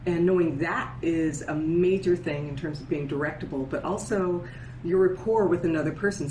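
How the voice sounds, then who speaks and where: A woman with a deep, low voice talks through voice and dialect coaching in a relaxed, explanatory way. She often checks in with "right?". The recording is roomy and echoey, with faint ambience behind her. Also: a little hiss or hum. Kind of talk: casual conversational interview.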